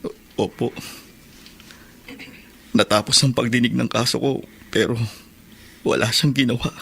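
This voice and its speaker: An actor with a Filipino accent playing an aggrieved young son in a radio drama, husky, pleading and emotional. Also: male voice, mid-range voice, fairly even intonation, a little slow, a bit bright, slight background noise. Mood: bitter, hurt. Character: aggrieved, bitter young son who lands in prison.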